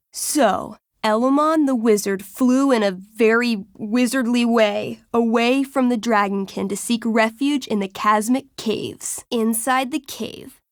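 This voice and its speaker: High-voiced, unhurried nerdy teenage girl. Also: no room sound, clean studio sound. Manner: casual, playful, dramatic when narrating. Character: friendly nerdy teenage girl, enthusiastic tabletop game master. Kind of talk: naturalistic video game voice acting.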